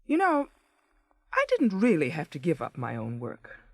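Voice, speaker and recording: An actress in a 1980s radio drama playing a wistful, neglected wife with a wry, expressive manner. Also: female voice, low-pitched, normal speed, no echo, studio quality, exaggerated voice. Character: Carol, neglected wife and former set designer, wistful, bitter. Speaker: actress narrating and playing the lead.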